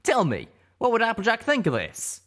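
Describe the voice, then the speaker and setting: A man's voice: high-pitched, huge pitch swings, normal speed, fairly bright tone, voice pushed up, exaggerated voice. A man voicing a brash, agitated male pegasus pony with a folksy, exclamatory manner in an audiobook. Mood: angry.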